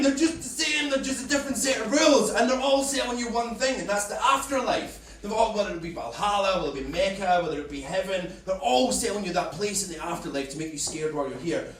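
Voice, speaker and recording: A young Scottish man doing stand-up comedy on a club stage, with an energetic delivery that shouts punchlines. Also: high-pitched, fast talker, few pauses, a little hiss or hum. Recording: echoey club from far off, loud crowd.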